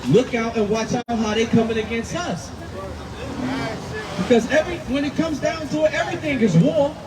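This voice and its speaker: A man preaching outdoors, forceful and emphatic, repeating his points. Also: very high-pitched, normal speed, over a noisy crowd. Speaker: street preacher. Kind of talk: street preaching. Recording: distant mic with loud street noise.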